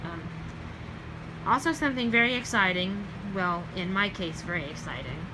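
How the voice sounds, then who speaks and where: A young woman with a Southern US accent, chatting casually in a late-night vlog, rambling with many ums and self-corrections and trailing off softly. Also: fairly low pitch, normal speed, background noise. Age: young adult. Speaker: vlogger.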